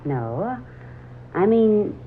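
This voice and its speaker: A woman's voice: medium pitch, very animated, drawn-out words. An actress playing a wistful, troubled young woman in a hesitant, dreamy 1940s radio drama style. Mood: wistful, puzzled. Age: young adult. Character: wistful, troubled 23-year-old woman drawn to a stranger. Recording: muffled, noisy old radio drama recording.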